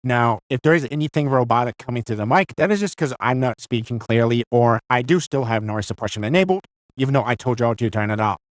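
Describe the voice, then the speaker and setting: A man's voice: medium pitch, animated, fast talker, no room sound. A man talking casually and off the cuff through an AI voice changer set to imitate a cartoon character. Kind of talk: casual, improvised demonstration.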